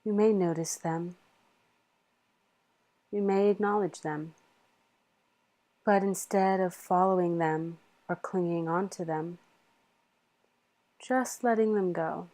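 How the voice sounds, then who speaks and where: A woman leading a guided meditation in a soothing, measured manner with long pauses. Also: on the low side, fairly even intonation, dark tone, rough recording.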